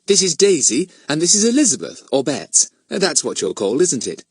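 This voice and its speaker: A man's voice: fairly high pitch, sing-song, normal speed, slightly rough, no echo, voice pushed up, full character voice. A man voicing a kindly, genteel young mother in a storybook, gracious and chatty.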